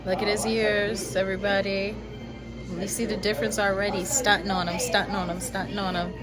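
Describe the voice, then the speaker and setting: A woman's voice: mid-range voice, little pitch movement, fairly quick. A woman vlogging her day out with her family, chatty and playful, talking to the phone camera while out at shops and in the car. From Maryland, USA. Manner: playful, chatty, laughing asides. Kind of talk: casual vlog narration. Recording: music playing behind the voice.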